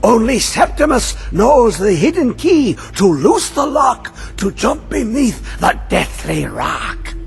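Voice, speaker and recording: A man voicing a mad, obsessed old male scholar who talks in riddles and swings between high and low. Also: sing-song, takes it slowly, creaky, hardly any pauses, full character voice. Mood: cryptic. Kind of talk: video game voice acting. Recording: game audio with faint music.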